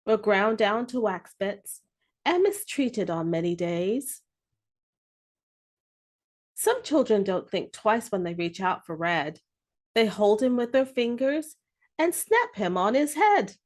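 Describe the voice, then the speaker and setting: A woman reading a children's story aloud with expressive, deliberate phrasing. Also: mid-range voice.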